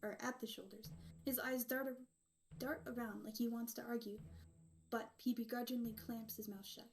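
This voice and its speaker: A woman's voice: fairly high pitch, steady tone, normal speed. A woman co-streaming a visual novel, reading narration aloud and chatting casually in a playful manner. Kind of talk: story narration with casual chat.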